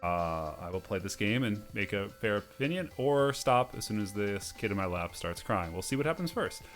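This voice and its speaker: A man's voice: lowish voice, animated, normal speed, a bit bright, soft background music. A man hosting a video game playthrough, commenting and reading on-screen text aloud, sometimes stumbling over words.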